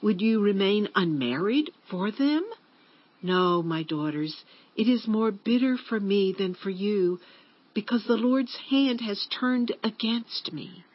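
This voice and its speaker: A woman playing a grieving, bitter older widowed mother-in-law in a dramatized scripture reading, speaking with slow, sorrowful emphasis. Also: medium pitch, expressive. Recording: dull lo-fi audio with music bed.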